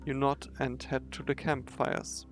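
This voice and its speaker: A man with a creaky voice doing game commentary and reading story narration aloud. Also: mid-range voice, little pitch movement, unhurried. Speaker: gamer narrating a playthrough. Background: faint music.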